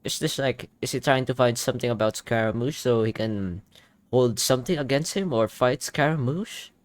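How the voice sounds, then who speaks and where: A young man giving casual game reaction commentary, excitable and thinking aloud. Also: medium pitch, moderate pace, dry recording. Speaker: gaming YouTuber. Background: game music.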